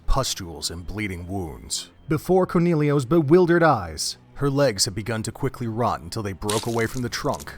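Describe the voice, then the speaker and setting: A man narrating a gruesome horror audio drama in a measured, dramatic storytelling manner. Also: fairly low pitch.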